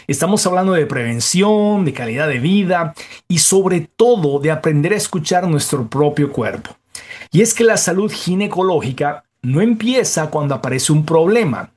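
Male radio host, doctor, somewhat high pitch, formal, courteous, articulate, interview presentation. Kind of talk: scripted introduction and radio interview.

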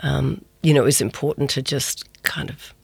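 A woman's voice: very deep voice, steady tone, moderate pace, vocal fry, slightly rough, dry recording. A woman journalist answering interview questions in a subdued, reflective way. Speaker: journalist guest.

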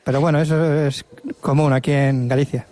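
A man's voice: medium pitch, flat delivery, fairly quick, dark tone. A man from Ciudad Real with a La Mancha accent, a parent chatting hesitantly in an interview. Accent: La Mancha Spanish. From Ciudad Real, Spain. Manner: hesitant, rambling, with restarts. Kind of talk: casual on-the-spot interview.

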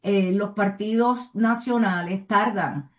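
A woman speaking as a community advocate in an interview, earnest and somewhat halting, often adding tag questions. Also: mid-range voice. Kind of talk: interview answers, civic advocacy.

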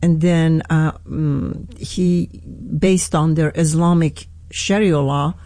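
A woman from Iran with an Iranian accent, a pastor telling her story in a radio interview, earnest and halting with many restarts. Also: deep voice, fairly even intonation, dark tone, no room sound. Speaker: pastor, guest.

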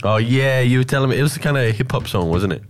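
An Australian man hosting a radio show, chatting in a relaxed, storytelling way with plenty of fillers. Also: medium pitch, fast, slight background noise. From Alice Springs, Australia. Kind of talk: casual radio chat.

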